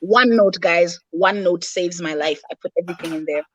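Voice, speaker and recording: A woman's voice: on the low side, brisk, fairly bright tone, muffled recording. A woman from Malawi, a rapper talking as a guest in a casual interview, candid and self-assured in long flowing answers.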